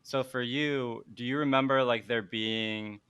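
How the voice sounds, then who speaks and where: Upbeat, crisp-voiced male podcast host. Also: medium pitch, fairly even intonation, unhurried, pushed and bright, no echo. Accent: Chicago. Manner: upbeat, friendly interviewer with easy laughs. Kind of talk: casual interview chat.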